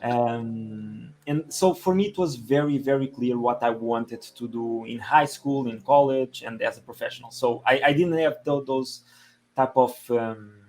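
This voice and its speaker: A man from Portugal with a Portuguese accent, giving conversational interview answers as a podcast guest, halting and repeating words as he goes. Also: medium pitch, darkish tone, slight background noise, rough recording. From Portugal, Lisbon area. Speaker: podcast guest, engineering manager.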